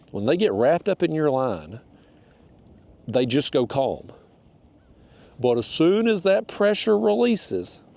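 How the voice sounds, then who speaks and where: A man's voice: medium pitch, gravelly, mellow. A man, stuffed up and nasal, chatting to viewers and to the fish while out on a kayak. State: stuffed-up, nasal from a cold wind. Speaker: kayak fishing channel host. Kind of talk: casual narration while fishing. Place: outdoors on a kayak on a river.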